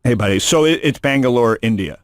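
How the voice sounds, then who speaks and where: A man's voice: mid-range voice, lively intonation, a little fast, dry recording, clean studio sound. A man hosting a podcast in casual chat, rambling and joking, often restarting his sentences. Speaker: podcast host. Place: studio room.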